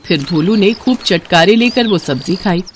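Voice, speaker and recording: A woman narrating a bedtime cartoon story in an expressive, scripted storybook style. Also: mid-range voice, moderate pace, slight background noise.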